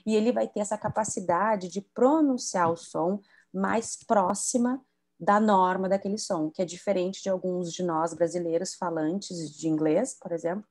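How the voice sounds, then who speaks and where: A woman's voice: fairly low pitch, normal speed, darkish tone. A woman from southern Brazil, an educator and author, explaining bilingualism on a video call and often adding tag questions. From southern Brazil (Rio Grande do Sul).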